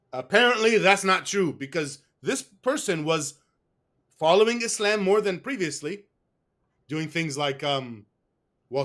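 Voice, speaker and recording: A man's voice: a little high, fairly bright tone. A man being interviewed, telling a long personal story in a rambling, casual way. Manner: rambling, with restarts and fillers. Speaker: guest. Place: West.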